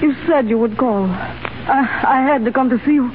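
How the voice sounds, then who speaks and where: An actress playing an earnest young Russian woman in a 1930s radio drama, speaking softly and formally. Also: fairly high pitch, normal speed, natural voice. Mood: tender. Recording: tinny hissy 1930s radio broadcast.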